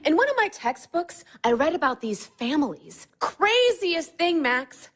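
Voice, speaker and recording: An actress playing a bossy, fretful wife and matchmaker in a naturalistic audio drama, speaking with insistent emphasis. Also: female voice, sits high, very animated, measured pace, faint music behind. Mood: pointed.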